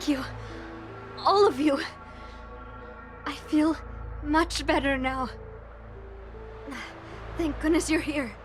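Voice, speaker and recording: An actress playing an injured young female soldier in a video game, speaking in short, halting phrases. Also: high-pitched, steady tone, soft background music. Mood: relieved, grateful. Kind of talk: scripted video game dialogue.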